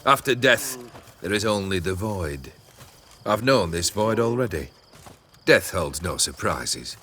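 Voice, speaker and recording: An actor playing a fearless, brooding brigand lord in a video game, with grand, archaic, theatrical phrasing. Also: male voice, deep voice, lively intonation, unhurried, pushed and bright, slight background noise, exaggerated voice. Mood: defiant, probing. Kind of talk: dramatic video game voice acting.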